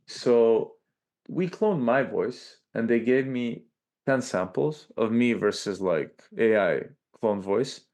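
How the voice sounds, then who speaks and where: A man with a Serbian accent, a podcast guest talking in a loose, animated way and tagging lines with "right?". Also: medium pitch, slow. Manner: animated, peppers speech with "like" and "right?". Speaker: podcast guest, tech company chief. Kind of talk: casual interview talk.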